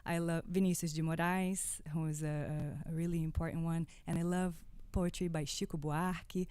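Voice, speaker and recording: A woman's voice: fairly low pitch, level tone, moderate pace, warm tone, smooth, no echo. A woman from Brazil whose native language is Portuguese, a guest singer-songwriter talking conversationally in an interview.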